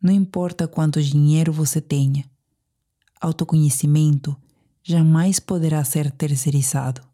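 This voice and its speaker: A woman with a Brazilian accent guides a sleep meditation. Her voice sits low for a woman and has a dark, relaxed tone. She phrases things deliberately and soothingly, with gentle pauses.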